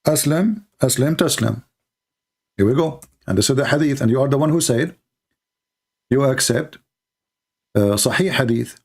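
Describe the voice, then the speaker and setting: A man, Arabic his first language, argues in English with a rough, gravelly edge. He hammers the same points again and again in a pressing debating manner. His pitch sits in an ordinary middle range. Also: animated, normal speed, pauses often, a little mellow. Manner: insistent, repeats catchphrases. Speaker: debate host. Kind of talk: heated debate.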